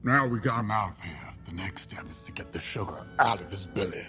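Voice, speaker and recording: A man's voice: on the low side, animated, fairly quick, bright, forceful tone. A man playing a pompous, sentimental crab detective who narrates his log in a grandiose, melodramatic comedy audio drama style. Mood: urgent. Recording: music behind the voice.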